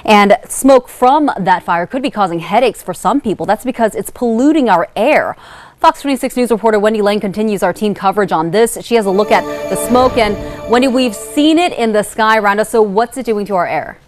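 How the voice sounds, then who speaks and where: A woman's voice: medium pitch, lively intonation, brisk, no echo, soft background music. American woman news anchor, dry studio sound. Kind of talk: broadcast news delivery.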